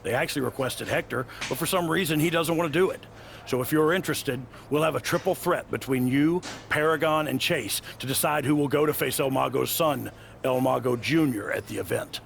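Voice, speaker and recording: A man's voice: mid-range voice, brisk, vocal fry, slight background noise, lightly acted. A man playing a wrestling trainer in video game cutscene dialogue. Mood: businesslike. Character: wrestling trainer offering a career opportunity.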